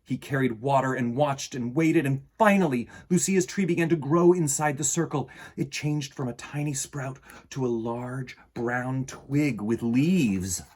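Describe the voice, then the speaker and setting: A middle-aged man with a husky voice, hosting a children's storytime in an expressive, theatrical way. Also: medium pitch, unhurried, hardly any pauses. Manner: theatrical, varied delivery addressed to young listeners. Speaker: children's storytime host and reader. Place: indoor room lined with bookshelves.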